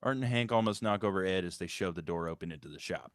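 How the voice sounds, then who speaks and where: A man's voice: deep voice, fast talker, a little hiss or hum. A man reading out screenplay stage directions as a scripted narration at a table read.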